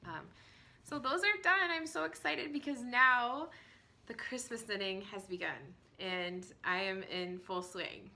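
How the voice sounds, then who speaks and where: A woman in her thirties hosting a knitting podcast from home, chatting casually with many ums and small laughs, her voice hoarse from a cold. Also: on the high side, measured pace, bright, forceful tone. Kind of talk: casual chat to camera. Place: at home, indoors.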